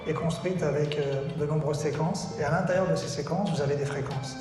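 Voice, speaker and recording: A man from Congo, a chef, speaking thoughtfully in a short documentary interview and pausing often to find his words. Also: on the high side, fairly even intonation. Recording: strong echo with music over it.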